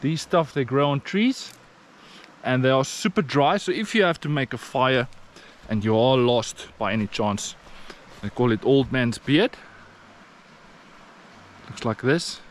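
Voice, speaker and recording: A man's voice: mid-range voice, unhurried, a little hiss or hum. A man from South Africa with an Afrikaans accent, narrating a casual hiking vlog outdoors, plain and encouraging, with frequent fillers. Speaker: vlogger. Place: outdoors on a rainforest trail.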